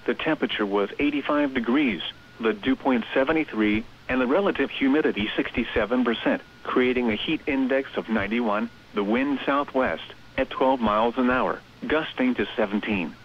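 A synthetic male voice reading a scripted weather forecast on radio in a measured, impersonal way. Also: mid-range voice, animated, vocal fry. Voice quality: synthetic text-to-speech voice. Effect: radio transmission. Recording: muffled, hissy weather radio broadcast.

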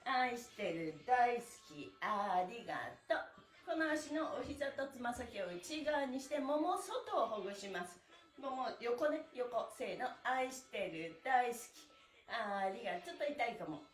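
A woman's voice: fairly high pitch, very animated, measured pace. A middle-aged woman cheerfully leading an exercise class, encouraging and calling out counts in a sing-song way. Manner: cheerful, encouraging, sing-song calls while demonstrating stretches. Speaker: stretching instructor. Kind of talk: live exercise lesson with chanted counting. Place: small bare indoor room. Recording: echoey room, mic at a distance.

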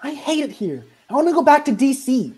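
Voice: high-pitched, very animated, fairly quick, darkish tone. A young actor playing a sullen, laid-back schoolboy who loves hip-hop, in a sitcom table read over a video call. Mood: sulky. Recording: noisy home video call with clicks.

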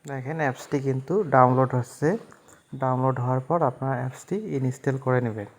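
A man's voice: mid-range voice, flat delivery, fast. A man narrating a phone app tutorial step by step, in a repetitive, instructional way. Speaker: tech tutorial host.

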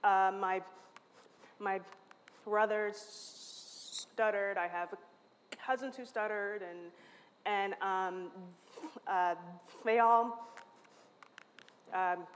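Slow-spoken woman who stutters, in a hall. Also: medium pitch, slight background noise. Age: 30s. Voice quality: stutters, with repeated sounds and restarts. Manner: candid and self-mocking. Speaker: conference speaker. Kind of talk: lightning talk. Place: large hall, standing at a lectern on stage. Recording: long reverb from a large hall.

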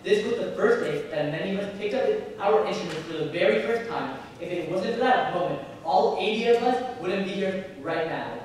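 A woman's voice: lowish voice, animated, moderate pace, few pauses, darkish tone, a little hiss or hum. A teenage female student reading a prepared thank-you speech, with careful, recited phrasing. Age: teen. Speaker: student speaker. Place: auditorium stage. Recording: echoey hall from far off.

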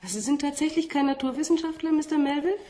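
An actress in a radio play, playing a composed woman scientist who explains things in a measured, slightly condescending way. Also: high-pitched, mellow, lightly acted. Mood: patient, superior. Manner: didactic, measured, with small rhetorical tags.

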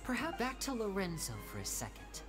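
A woman voicing a composed, theatrical young male magician in scripted game dialogue, speaking with smooth, knowing deliberation. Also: medium pitch, wildly swooping pitch, fairly quick. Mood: cold, solemn. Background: game music.

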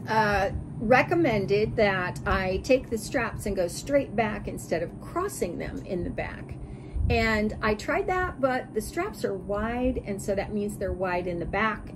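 An American woman in her sixties chatting casually to camera and reading out comments in an expressive, giggly manner. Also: medium pitch, noisy background. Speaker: sewing vlog host. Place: bright curtained porch or sunroom.

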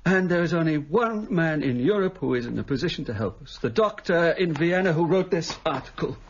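An actor playing an earnest, loyal English gentleman doctor in a radio drama, courteous and formal with an urgent edge. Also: fairly high pitch, expressive, a little fast, slightly rough, highs cut off.

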